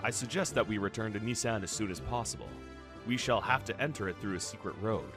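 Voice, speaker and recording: A man playing a grave, loyal first officer in a fan radio play, speaking in a measured, formal way. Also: lowish voice, animated. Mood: reassuring. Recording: music behind the voice.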